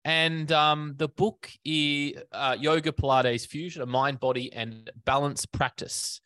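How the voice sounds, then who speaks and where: A man's voice: on the high side, fairly even intonation, measured pace, sharp tone, no room sound. A man hosting a fitness business podcast, talking casually with many fillers and restarts. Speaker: podcast host. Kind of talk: casual interview.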